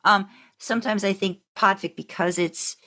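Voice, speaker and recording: A woman's voice: mid-range voice, fairly even intonation, normal speed, bright, forceful tone. A woman hosting a podcast in a casual, conversational way, effusive and chatty with rambling asides. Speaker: podcast host. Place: remote video call.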